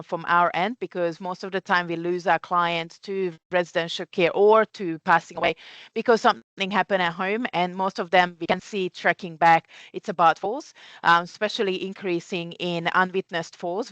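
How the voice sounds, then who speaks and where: A woman's voice: fairly low pitch, fairly bright tone, clean tone, dry recording. A woman who speaks with a Hungarian accent gives an earnest presentation as a guest speaker, with fillers and restarts. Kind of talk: webinar presentation. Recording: muffled, lo-fi webinar audio.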